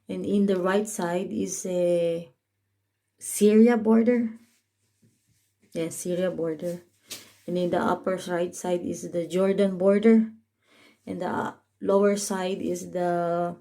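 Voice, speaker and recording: A woman with a Filipino accent, Tagalog her first language, talking to her vlog audience in plain, simple English. Her voice sits a little low and sounds soft and mellow. She goes slowly, stopping to restart her sentences and filling gaps with "uh.". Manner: halting, many fillers and restarts, simple explanatory English. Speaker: caregiver and vlogger. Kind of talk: casual update vlog. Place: home in northern Israel.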